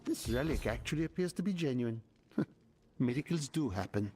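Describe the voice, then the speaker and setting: A man's voice: medium pitch, animated, normal speed, mellow, a little hiss or hum, natural voice. A man voicing an irritable scholarly relic expert in a video game, curt, formal and grimly cautionary. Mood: dry amusement.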